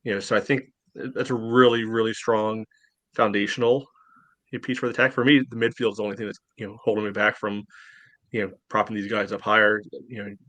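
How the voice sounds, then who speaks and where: A man with a fairly low voice co-hosts a sports podcast in a loose, easygoing way, filling his pauses with "you know". The recording is dry and close, with no room echo. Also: flat delivery, fairly bright tone. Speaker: podcast co-host. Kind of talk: casual sports chat.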